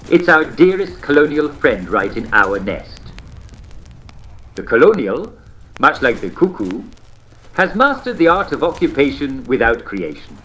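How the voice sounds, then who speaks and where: A man playing an avuncular old-time radio propaganda host, genteel and smugly patriotic, with theatrical phrasing and deliberate pauses. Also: mid-range voice, sing-song, fairly bright tone, slight background noise, rough recording. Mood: scornful, pompous. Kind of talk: vintage radio propaganda narration.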